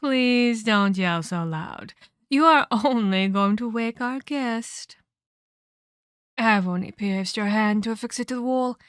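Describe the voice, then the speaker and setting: Cruel ancient female vampire, played by a woman, for ASMR drama: very slow, close and dry, condescending menace. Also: on the high side, fairly bright tone, no echo. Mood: pleased, condescending. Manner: menacing, condescending, deliberate theatrical delivery with whispered emphasis. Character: cruel, domineering ancient female vampire scolding her captive pupil. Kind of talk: dramatic ASMR roleplay acting.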